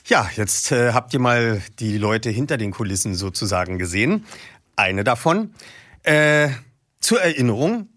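A man's voice: mid-range voice, very animated, moderate pace, a bit bright. A man hosting a podcast and reading passages from a detective novel with dramatic narration. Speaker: host and reader. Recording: faint music behind. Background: faint music.

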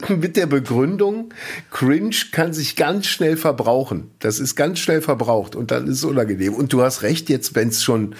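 A man's voice: medium pitch, normal speed, husky. A man co-hosting a casual podcast, talking loosely with many fillers and reading out news items. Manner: relaxed, reads news items aloud with frequent fillers. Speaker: podcast co-host.

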